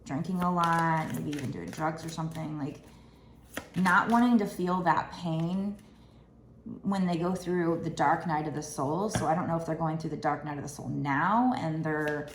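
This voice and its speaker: A woman with a low, gravelly voice talks her way through a tarot spread, rambling and full of fillers. Her throat sounds raspy and tired. The room around her is echoey, with a faint hiss behind. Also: moderate pace. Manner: chatty, rambling, with many fillers. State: raspy from lack of sleep. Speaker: tarot reader. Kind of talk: casual tarot card reading. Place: room at a table indoors.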